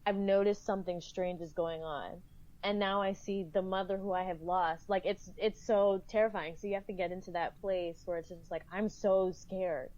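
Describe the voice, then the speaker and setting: A woman's voice: on the low side, steady tone, normal speed, a little mellow. A woman speaking casually as a guest actor in a discussion. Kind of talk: casual chat. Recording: slightly dull lo-fi audio.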